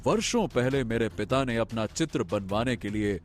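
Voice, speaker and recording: A man playing an earnest young prince in a cartoon story, speaking theatrically with drawn-out pauses and emphatic repetition. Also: mid-range voice, animated, lightly acted. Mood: wistful. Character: young prince, earnest, brooding, grandly expressive. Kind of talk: Hindi animated moral story dialogue.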